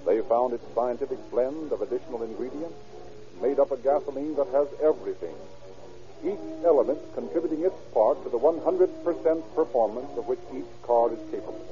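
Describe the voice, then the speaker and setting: A man's voice: medium pitch, dull audio. A man working as a radio announcer, speaking formally and emphatically in a polished 1930s broadcast style. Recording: band-limited old radio broadcast recording.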